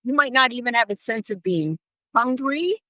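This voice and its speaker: A woman's voice: a little high, lively intonation, normal speed, pushed and bright, no room sound. A middle-aged woman speaking as an interview guest and care specialist, explaining and advising emphatically and repeating phrases for stress.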